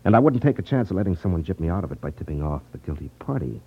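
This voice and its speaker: An actor playing a cool, sardonic private detective with a wry manner in a 1950s radio drama. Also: male voice, sits low, big pitch swings, racing, slightly rough, exaggerated voice. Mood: firm. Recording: dull 1950s radio recording.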